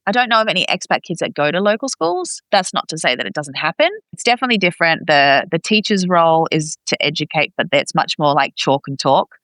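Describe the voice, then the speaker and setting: Female podcast guest, chatty and expressive, bright forward voice, dry close recording. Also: sits low, fast talker, hardly any pauses, no room sound. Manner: chatty, expressive, acts out reported dialogue. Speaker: podcast guest, expat parent. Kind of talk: casual conversational interview.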